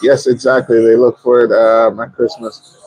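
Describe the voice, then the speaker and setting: A man's voice: mid-range voice, flat delivery, normal speed, a little mellow, rough recording. A man with a Jamaican accent, chatting casually as host of a live stream. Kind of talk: casual chat.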